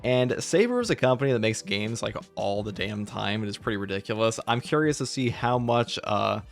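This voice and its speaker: A man's voice: lowish voice, lively intonation, fairly quick, creaky. A man hosting a casual gaming commentary discussion, speaking in a conversational, opinionated way. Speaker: video game channel host. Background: background music.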